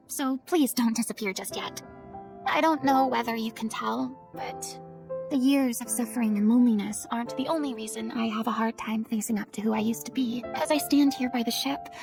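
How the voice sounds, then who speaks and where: A woman's voice: on the high side, creaky. A woman playing a dramatic, vulnerable young former stage idol in a video game, with theatrical, formal phrasing.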